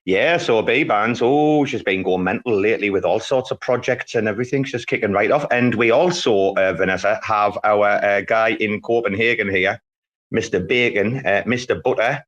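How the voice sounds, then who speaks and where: A man's voice: lowish voice, normal speed, a bit bright, highs cut off. A man with a British accent hosting a casual chat in an enthusiastic, chatty way full of informal slang. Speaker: show host.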